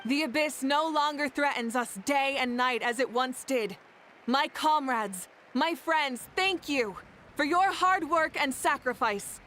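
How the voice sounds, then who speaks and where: A woman's voice: high voice, a little slow, a bit bright, slight background noise. An actress playing a confident, friendly young woman leader in an anime game, with an assured, theatrical manner. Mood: rousing, proud.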